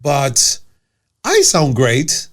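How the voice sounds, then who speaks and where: A man's voice: medium pitch, wildly swooping pitch, extremely slow, fairly bright tone. Earnest Cuban-accented man hosting. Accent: Cuban Spanish. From Cuba. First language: Spanish. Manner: earnest, emphatic, with frequent restarts. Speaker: podcast host. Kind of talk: casual conversational interview.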